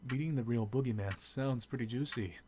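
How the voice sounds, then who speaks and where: An actor playing a businesslike investigator in a game, with a casual, probing, plainspoken manner. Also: male voice, mid-range voice, a little fast, darkish tone, close to an everyday voice. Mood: inquisitive, businesslike. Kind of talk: game drama dialogue. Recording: clear ticking background noise.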